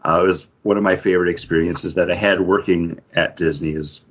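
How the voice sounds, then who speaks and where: Slightly husky low-voiced man, podcast co-host. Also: steady tone, brisk, fairly bright tone. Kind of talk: casual chat, personal anecdotes. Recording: dull, lo-fi audio.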